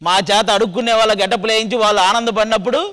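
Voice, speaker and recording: Fast, high-pitched Telugu man bantering over music. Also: steady tone, bright, forceful tone. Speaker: team leader and comic on a TV dance show. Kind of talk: comic stage banter. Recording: music behind stage banter.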